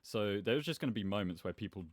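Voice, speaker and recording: A man's voice: on the low side, animated, quick pace, no echo. A man giving casual, rambling gameplay commentary as host, with a self-deprecating manner. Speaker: gaming video host.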